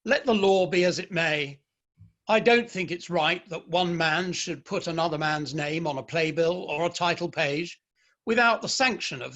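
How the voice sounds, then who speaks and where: A man's voice: on the high side, a bit bright, exaggerated voice. A man acting a stern, proud Victorian father and novelist in a play reading, with formal, emphatic speech.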